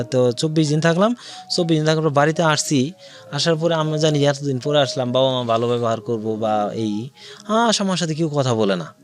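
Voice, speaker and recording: A man with a Bangladeshi accent tells his own life story as an interview guest, in detail and with quoted dialogue. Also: mid-range voice.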